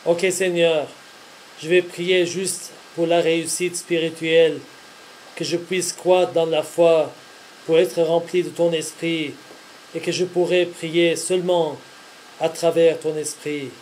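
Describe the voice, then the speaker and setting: A man speaking earnestly in short, deliberate phrases, as a religious host giving scripted devotional teaching. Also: on the high side, little pitch movement, darkish tone, slight background noise, rough recording.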